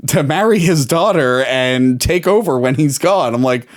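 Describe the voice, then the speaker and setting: A man co-hosting a casual podcast chat. He talks in an animated way, laughs often and swings his pitch up and down when he acts out quotes. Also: normal speed. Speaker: podcast co-host. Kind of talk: casual chat about toys and cartoons.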